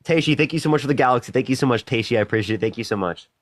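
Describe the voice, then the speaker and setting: A man's voice: medium pitch, racing, no room sound. A man hosting a live stream, talking casually and thanking viewers over and over between debates with callers. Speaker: livestream host.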